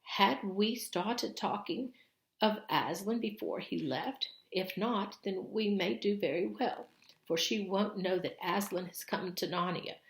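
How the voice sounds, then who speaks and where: A woman's voice: medium pitch, roomy sound, voice pushed up. A woman voicing a fretful, urgent older female beaver from a storybook, warning with great emphasis. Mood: anxious reasoning.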